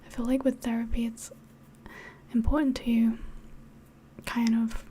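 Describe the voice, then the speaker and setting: Slow, whispering English woman, softly spoken. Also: on the high side, level tone, a little hiss or hum. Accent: English, slightly mixed. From England. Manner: rambling, hesitant. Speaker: ASMR livestream host. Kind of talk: soft-spoken ASMR chat, mostly whispered.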